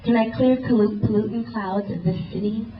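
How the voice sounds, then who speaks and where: A woman's voice: mid-range voice, fairly even intonation, brisk, soft background music. Young woman performing earnest spoken word. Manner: rhythmic, earnest and introspective. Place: indoor event hall. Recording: roomy, distant, muffled live recording.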